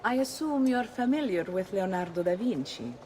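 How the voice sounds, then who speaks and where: Casting: woman playing composed, worldly Italian madam and mentor in a game, medium pitch, Italian accent, hall reverb. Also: lively intonation, moderate pace, darkish tone, a little hiss or hum, lightly acted. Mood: instructive. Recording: long reverb, faint music.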